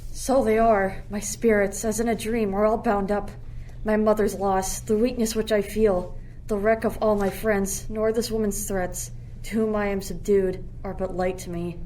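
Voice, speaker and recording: A woman's voice: mid-range voice, mellow, close to an everyday voice, poor audio. A woman playing a lovestruck, defiant young shipwrecked royal in a Shakespearean radio play, earnest and declamatory. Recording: faint background noise.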